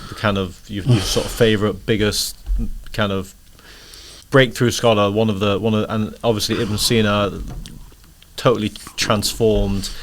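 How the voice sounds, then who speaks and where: Male podcast interviewer with background noise. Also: lowish voice. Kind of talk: conversational interviewing.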